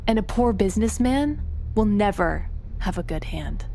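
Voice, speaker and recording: A woman's voice: medium pitch, lively intonation, normal speed, mellow, slight background noise, close to an everyday voice. A woman playing a cunning, vengeful con artist in a crime audio drama, composed and taunting. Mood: gloating.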